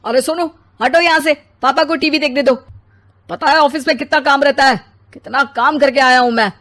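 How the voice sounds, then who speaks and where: An irritable cartoon father, voiced by a woman, worn out from office work. The voice sits slightly high, with a grumbling, exasperated delivery that has little patience for the family. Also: steady tone, normal speed, a bit bright. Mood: irritated, impatient. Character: irritable, tired office-worker father, snappy and impatient. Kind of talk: cartoon family-story acting.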